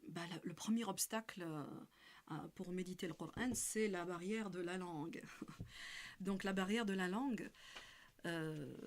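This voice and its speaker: A woman gives a spiritual talk on a live stream and keeps pausing, restarting and filling gaps with small hesitations. Her voice sits at a middle pitch. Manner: hesitant, many fillers and restarts. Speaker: religious speaker.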